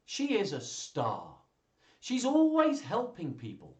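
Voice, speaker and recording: A man's voice: sits high, huge pitch swings, takes it slowly, a little mellow, pitched above the performer's own voice, full character voice. A man voicing proud storybook family members in an emphatic, sing-song children's reading. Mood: proud, adoring. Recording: roomy, echoey live stream sound.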